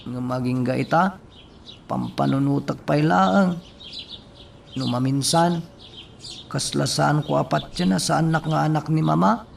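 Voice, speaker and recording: A man acting as an earnest, burdened young nephew in an Ilocano radio drama, with an Ilocano accent and a creaky voice. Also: a little high, measured pace, pauses often. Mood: troubled. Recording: distant mic, faint background noise.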